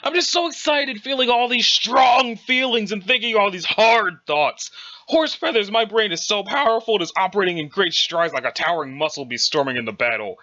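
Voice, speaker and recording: A man voicing a boastful, muscle-obsessed male sprite who shouts gleefully and mixes formal words with slang. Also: high voice, lively intonation, brisk, muffled recording, voice pushed up.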